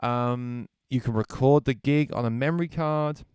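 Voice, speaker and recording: A man's voice: medium pitch, moderate pace, darkish tone, clean tone, no room sound, clean studio sound. A man chatting casually on a livestream while demoing music gear, thinking aloud and often repeating words. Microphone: vocal mic through effects unit.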